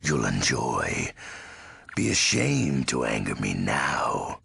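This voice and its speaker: An actor playing a menacing, crazed undead ghoul gang boss in a video game, very raspy and gravelly, talking in clipped street slang. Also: male voice, rumbling low, wildly swooping pitch, slow pace, a bit bright, no room sound, exaggerated voice. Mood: gruff, commanding. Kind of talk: video game character acting.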